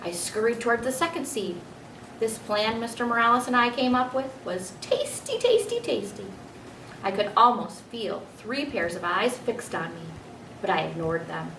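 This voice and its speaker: Storybook hamster narrator, played by a woman: clever, pleased with himself, expressive. Roomy, slightly noisy recording. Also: a little high, normal speed, creaky. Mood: playful, self-assured. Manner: expressive children's storybook reading. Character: clever, self-satisfied pet hamster narrating his adventures. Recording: roomy, distant mic, faint noise.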